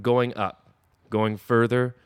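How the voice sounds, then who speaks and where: A cocky man bragging through a podcast interview as a wrestling heel. His voice is smooth and clear, recorded dry and close. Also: mid-range voice, level tone, slow pace, no room sound. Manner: boastful, cocky heel persona. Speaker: wrestler guest.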